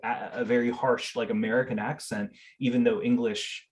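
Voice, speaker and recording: A man's voice: mid-range voice, little pitch movement, moderate pace. A middle-aged man who grew up speaking Spanish, telling stories in a casual interview with many fillers and restarts. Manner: earnest storytelling with fillers and restarts. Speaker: interview guest.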